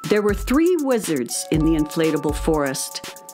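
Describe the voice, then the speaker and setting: Animated woman narrating a children's story. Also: sits low, moderate pace, faint music behind.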